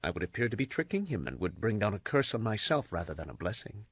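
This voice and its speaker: A wary, deceitful younger son in dramatized scripture. The voice is very deep and breathy, with lively swings in pitch and a careful, hesitant delivery. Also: male voice, fast, dry recording. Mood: worried. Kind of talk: dramatized scripture audio acting.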